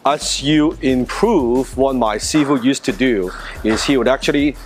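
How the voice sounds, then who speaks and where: A man's voice: mid-range voice, measured pace, soft background music. Earnest man teaching self-defense. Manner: earnest, conversational, with restarts and repetitions. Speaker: martial arts instructor.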